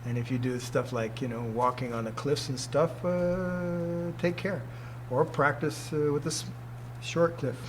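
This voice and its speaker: A man's voice: on the high side, steady tone, a little slow, hardly any pauses, noisy background. A man in his sixties, a martial arts teacher, giving an informal talk to students, emphatic and fond of rhetorical questions. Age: 60s.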